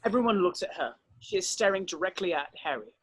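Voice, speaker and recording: A woman's voice: on the low side, animated, fast talker, husky, no room sound, poor audio. A woman narrating stage directions from a script.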